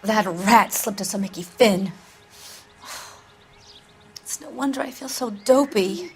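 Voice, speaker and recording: Flirtatious, dazed 1940s American starlet for a crime game: mid-pitched, haughty, teasing, faint music behind. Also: female voice, slow. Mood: evasive, dismissive. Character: vain, flirtatious, brassy 1940s film actress, dazed and evasive. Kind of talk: video game drama dialogue.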